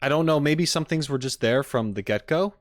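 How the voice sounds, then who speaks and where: A man's voice: on the high side, brisk, dry recording, clean studio sound. A man streaming casually to viewers, thinking aloud in a hesitant way. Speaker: streamer reacting to game content. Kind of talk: casual chat with live viewers.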